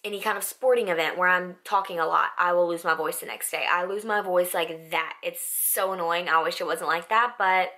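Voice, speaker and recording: A young woman with a raspy voice, chatting casually to camera in a vlog, full of "like" and "um". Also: on the low side, a little fast, hardly any pauses, bright, forceful tone. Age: young adult. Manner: chatty, filler-heavy with frequent "like" and "um". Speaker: vlogger. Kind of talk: casual facts-about-me vlog.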